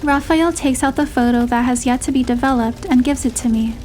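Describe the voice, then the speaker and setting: A woman narrating a romantic first-person story in a measured, expressive storytelling manner. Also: sits high, slight background noise. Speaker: narrator and heroine voice.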